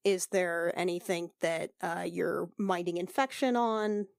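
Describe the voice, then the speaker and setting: A woman's voice: on the low side, a little slow, smooth, dry recording. Woman acting as a worried lady medic in a tabletop rpg, stammers n repeats herself, dry close sound. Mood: probing. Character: brisk, worried female medic noblewoman, exasperated.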